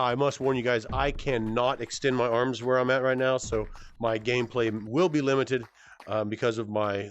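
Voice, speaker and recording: A good-humored man in his forties chats through a fan Q&A while playing a VR game. He talks at an ordinary pitch and rambles, trailing into fillers and correcting himself mid-sentence. His voice comes through a headset mic. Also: muffled recording, a little hiss or hum. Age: 40s. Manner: rambling and self-correcting, with many fillers and restarts. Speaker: gaming YouTuber answering fan questions.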